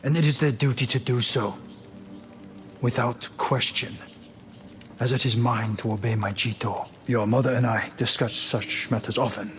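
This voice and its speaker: An actor playing a stern, grieving samurai lord and father, speaking formally and with measured weight in a video game drama. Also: medium pitch, fairly even intonation, a little hiss or hum, natural voice. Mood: stern, commanding.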